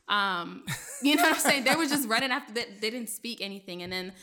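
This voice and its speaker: Young woman, journalist, raised in Maryland, first language French; bright tone, loose conversational delivery with fillers. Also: a little high, expressive, a little fast. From Maryland, USA. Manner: chatty, many fillers, laughs often. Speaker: journalist, guest. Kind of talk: casual interview storytelling.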